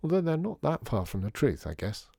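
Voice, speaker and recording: A man's voice: a little high, big pitch swings, a little fast, vocal fry, dark tone, slightly rough, no room sound, voice pushed up, full character voice. A man voicing a wry, teasing father in a storybook, with a dry, muttering, sardonic manner. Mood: defensive grumbling. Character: wry, grumbling, teasing father who makes toys.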